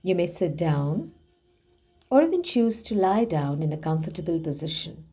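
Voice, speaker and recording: A woman leading a guided relaxation visualization in a soothing, hushed manner with gently drawn-out phrasing. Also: fairly low pitch, big pitch swings, husky. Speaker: guided meditation host. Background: background music.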